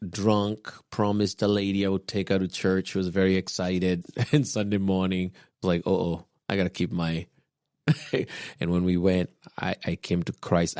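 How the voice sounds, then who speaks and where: Man, 40s, very deep, dry close mic, reflective podcast storyteller. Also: no room sound. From Kenya. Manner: unhurried, reflective, with soft chuckles. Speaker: podcast guest husband, pastor.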